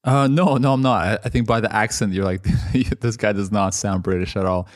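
A man's voice: lowish voice, normal speed, dry recording, studio quality. Laid-back Canadian-raised man in his thirties. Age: 30s. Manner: relaxed storytelling, laughs often. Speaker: guest, entrepreneur. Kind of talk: casual interview. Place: London.

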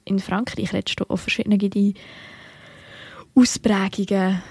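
A woman's voice: medium pitch, flat delivery, measured pace, a little mellow, husky, dry recording. Young woman, Bernese Swiss accent, podcast co-host; chatty, restarts her sentences, dry close sound. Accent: Bernese Swiss German. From Switzerland. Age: young adult. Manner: chatty, many fillers and self-corrections. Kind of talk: casual literary podcast chat.

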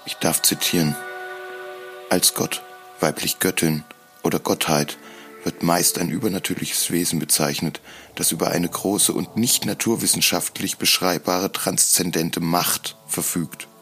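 Really low voiced guy, brooding solemn storytelling, faint music behind him. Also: steady tone, measured pace, fairly bright tone. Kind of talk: scripted dramatic first-person narration.

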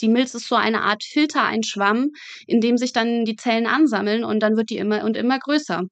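A young woman with a fairly high voice talks as an interview guest. She recounts her student experiences frankly and vividly, in a matter-of-fact conversational way. The sound is close and dry. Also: brisk, dry recording. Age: 20s.

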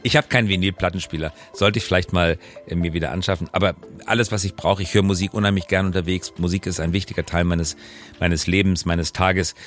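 A man's voice: sits low, fairly even intonation, fast talker, soft background music. A middle-aged man chatting casually as the co-host of a podcast. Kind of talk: casual conversational podcast talk.

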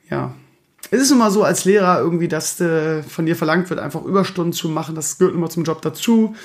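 A hoarse man with a gravelly, rough-edged voice that sits a little higher than most men's. He hosts his own podcast in loose casual chat, rambling and poking fun at himself as he grumbles. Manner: rambling, self-mocking, grumbling. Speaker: podcast host.

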